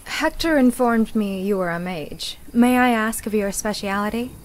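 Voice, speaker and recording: A woman voicing a sharp-tongued young necromancer in a visual novel, skeptical and sardonic. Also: medium pitch, normal speed, a little hiss or hum, natural voice, poor audio. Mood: businesslike. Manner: sardonic, probing.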